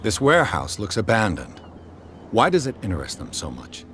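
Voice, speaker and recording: An actor playing a composed, dogged detective in a video game, with a terse, dry, questioning way of talking. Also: male voice, fairly low pitch, wildly swooping pitch, normal speed, fairly bright tone, slight background noise. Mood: inquisitive.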